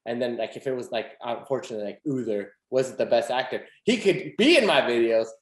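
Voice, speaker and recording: A man's voice: medium pitch, expressive, a little fast. A young man hosting a podcast, chatting casually and animatedly with lots of fillers and laughter. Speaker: podcast host. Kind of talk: casual chat. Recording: echoey room.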